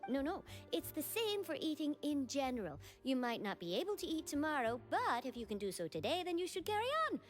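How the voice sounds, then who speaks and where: High, lilting, innocent creature girl. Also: very animated, a little fast, hardly any pauses. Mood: carefree, earnest. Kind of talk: anime-style video game voice acting. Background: background music.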